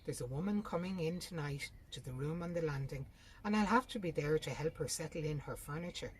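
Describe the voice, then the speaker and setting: Storybook heroine: weary woman, gentle yet obstinate, apologetic acted by a female reader. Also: sits low, brisk, a little hiss or hum, lightly acted. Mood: firm, regretful. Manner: faint, apologetic but firm. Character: worn, gentle, obstinate youngest sister past her prime.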